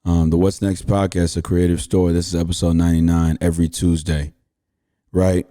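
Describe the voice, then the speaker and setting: A man's voice: low voice, flat delivery, brisk, dark tone, dry recording, studio quality. A man, hosting a podcast and telling a story in a laid-back, repetitive way that hypes the listener. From Houston, Texas. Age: 30s. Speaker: podcast host. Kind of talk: casual storytelling.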